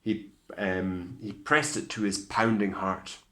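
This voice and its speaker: A Scottish man tells a children's story in a lowish voice at a very slow, deliberate pace. His delivery is expressive and theatrical, dropping into hushed asides. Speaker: teacher reading a story to pupils.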